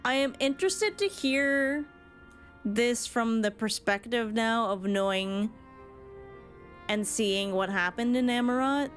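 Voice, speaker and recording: Higher-pitched woman streamer, casual commentary. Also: takes it slowly, faint music behind. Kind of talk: casual game reaction commentary.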